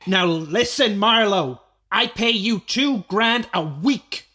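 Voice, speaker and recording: A man's voice: sits high, animated, slow pace, pushed and bright, heavily acted. A man playing a blustering nightclub boss and emcee in a radio play, bombastic and emphatic, with crowd sounds behind. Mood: irate.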